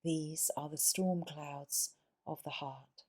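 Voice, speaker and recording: A woman leading a guided yoga nidra meditation in a soothing, measured way, pausing between short phrases. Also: sits low, steady tone, warm tone.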